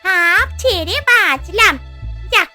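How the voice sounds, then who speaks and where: A woman's voice: extremely high pitch, animated, slow pace, heavily acted. A woman voicing a sly witch who mutters her secret schemes theatrically in a fairy-tale cartoon. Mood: relieved, sly. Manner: theatrical, scheming self-talk. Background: music.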